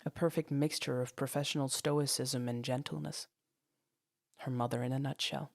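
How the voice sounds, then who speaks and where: A woman's voice: very deep voice, fairly even intonation, moderate pace, vocal fry, no room sound, clean studio sound. A woman reading scripted dramatic fiction as a storyteller. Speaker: storyteller, narrator.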